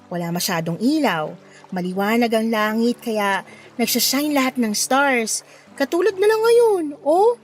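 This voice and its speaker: An actress with a Filipino accent playing a friendly, playful young local woman in a radio drama, lively and coaxing. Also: on the high side. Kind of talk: Tagalog radio drama acting.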